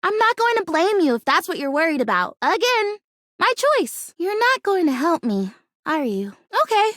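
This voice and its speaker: A bubbly little fake houseplant from a video game, with a very high, lively voice that leaps around. She is naive and stubbornly hopeful, chattering emphatically with big dramatic flair. The sound is close and dry. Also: moderate pace, dry recording, exaggerated voice. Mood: stubborn, slightly hurt. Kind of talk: animated video game character acting.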